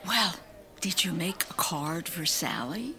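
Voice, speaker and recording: A woman's voice: on the low side, animated, slow pace, sharp tone, a little hiss or hum, exaggerated voice. An older woman acting a teasing, exasperated young schoolgirl with a secret crush in a radio play. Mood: nosy, teasing. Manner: teasing, dramatic.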